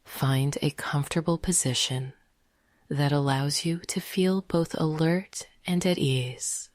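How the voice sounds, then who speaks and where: A woman leading a guided meditation in a soft, half-whispered, gentle and soothing voice. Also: low-pitched, takes it slowly, no echo, studio quality.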